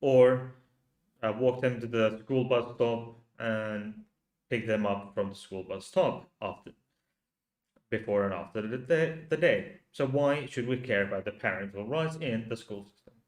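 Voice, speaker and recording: A man from Finland with a Finnish accent, a native Finnish speaker, hosting a livestream and talking in slow, halting rhetorical questions to his viewers. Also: mid-range voice, reverberant. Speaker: channel host. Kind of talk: livestream commentary reading chat.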